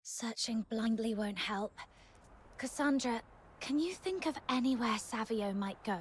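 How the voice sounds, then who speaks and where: A woman voicing a composed, practical young hunter in a video game, measured and matter-of-fact. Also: a little high, lively intonation, slight background noise. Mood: calm, businesslike. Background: background music.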